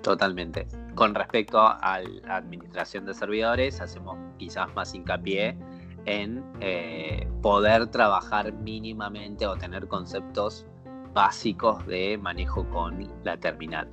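Very deep-voiced man explaining. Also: steady tone, measured pace, bright, forceful tone, soft background music. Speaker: co-host. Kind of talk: casual tech chat.

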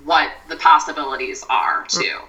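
A woman speaking as a mindfulness expert on a podcast, giving measured advice with frequent "you know" asides. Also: low voice, fairly even intonation, far from the mic, dull audio, a crowd in the background. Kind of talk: podcast conversation.

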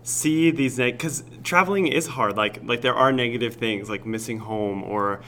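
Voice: mid-range voice, normal speed, fairly bright tone, a little hiss or hum. An interviewer who chats casually and encourages the guest, with frequent backchannel sounds.

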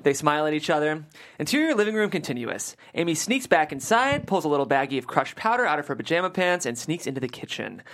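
Low-voiced woman with very lively pitch swings. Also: fast talker. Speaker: stage directions reader at a table read. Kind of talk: scripted narration of comedy screenplay directions.

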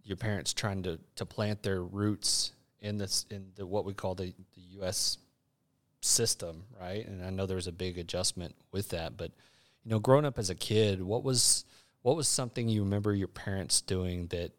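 A man's voice: sits low, moderate pace. A man hosting a podcast, enthusiastic in his intro and rambling when asking questions. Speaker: podcast host. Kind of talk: interview hosting.

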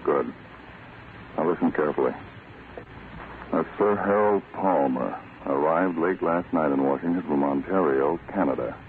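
A man playing a terse, authoritative counter-spy chief in a 1940s radio drama. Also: very deep voice. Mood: curt, secretive. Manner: terse, authoritative, deliberate instructions. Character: commanding male American counter-spy chief, brisk and secretive. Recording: tinny, noisy vintage radio recording.

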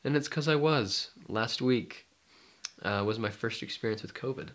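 A man's voice: mid-range voice, moderate pace, a bit bright. A young man co-hosting a casual talk podcast, congested and a little nasal from a cold. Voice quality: nasal, stuffy. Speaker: podcast co-host, mindfulness life coach. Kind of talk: casual chat.

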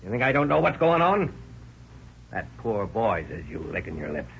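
An actor playing a jealous, bullying middle-aged postmaster in 1930s radio acting, gruff and sneering. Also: male voice, lowish voice, very animated, moderate pace. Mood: jealous rage. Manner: gruff, sneering, explodes in anger. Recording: muffled old radio broadcast with faint hiss.

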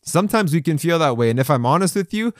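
A man's voice: a little high, expressive, quick pace, dry recording, clean studio sound. A man in his forties giving a folksy, encouraging sermon to men, with playful asides and chuckles. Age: 40s. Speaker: men's devotional speaker. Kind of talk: conversational podcast sermon.

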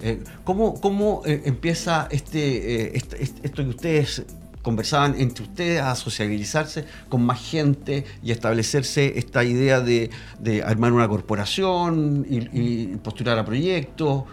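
A man's voice: mid-range voice, lively intonation, a little slow, soft background music. A man hosting a talk show, speaking hesitantly with many fillers. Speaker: host.

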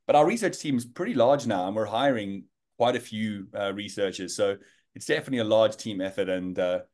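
A man's voice: lowish voice, brisk, darkish tone. A man in his thirties with a South African accent, a guest in an interview who talks casually with many fillers and restarts.

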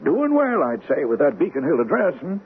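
An actor playing a reassuring, encouraging male doctor at a sanitarium in a radio drama, chatty and full of gentle questions. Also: a little high, huge pitch swings, brisk, slightly rough, full character voice. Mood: genial, chatty. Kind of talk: old-time radio drama acting. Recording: thin, tinny old radio broadcast, faint hiss.

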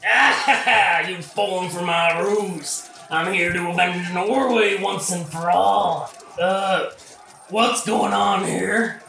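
A man's voice: high voice, slow, creaky, bright, forceful tone, far from the mic, faint music behind, heavily acted. A man playing a whiny, deflated invading prince, petulant and slangy, in a modern comedic audio play. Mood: triumphant, then puzzled. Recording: echoey room, off the mic.

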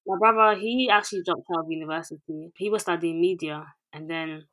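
A woman in her twenties from England, a director and producer, speaking in a calm, even, rather monotone way as an interview guest. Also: fairly low pitch, a little fast, poor audio. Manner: calm, even, self-described monotone delivery. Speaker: music video director and producer. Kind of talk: interview guest, casual conversation.

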